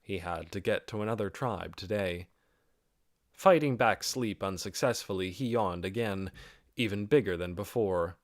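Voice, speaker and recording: A man's voice: low-pitched, normal speed, no echo, studio quality. A man reading scripted fantasy fiction as an audiobook narrator.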